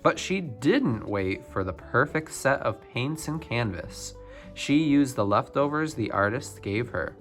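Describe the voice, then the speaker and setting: A man reading a children's picture book biography aloud, phrase by phrase with expressive emphasis. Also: mid-range voice, measured pace, faint music behind. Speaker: storybook reader.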